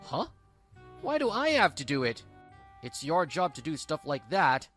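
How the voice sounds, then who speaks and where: Lady doing a lazy selfish husband in a manga dub, low voice that swoops around, whiny n smug, faint music. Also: very animated, unhurried, a bit bright, exaggerated voice. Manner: whiny, condescending. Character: selfish, dismissive young husband, lazy and demanding.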